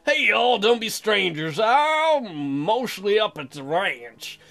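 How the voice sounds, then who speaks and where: A friendly country rancher from a visual novel, read out by a man. His voice is on the high side, folksy and neighbourly. Music plays behind him. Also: very animated, slow pace, vocal fry, a bit bright, heavily acted. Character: friendly, folksy bearded male rancher and librarian.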